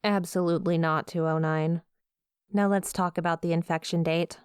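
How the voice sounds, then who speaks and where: A woman's voice: fairly low pitch, steady tone, moderate pace, clear, resonant tone, no echo, clean studio sound, close to an everyday voice. A woman playing a stern, cold scientist in an audio roleplay, speaking in a clipped, formal, clinical way. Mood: firm, curt. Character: stern, cold female scientist interrogating a monster.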